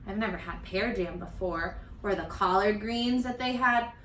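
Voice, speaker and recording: A woman reading a picture book aloud like a teacher, expressive and encouraging, putting questions to her young listeners. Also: mid-range voice, moderate pace, a little hiss or hum. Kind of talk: animated children's read-aloud with questions to listeners. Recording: echoey, roomy sound.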